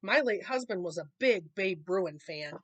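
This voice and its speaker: A woman's voice: fairly low pitch, lively intonation, measured pace, no room sound. A woman voicing a kindly, chatty old lady bear neighbor from a children's storybook. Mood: matter-of-fact.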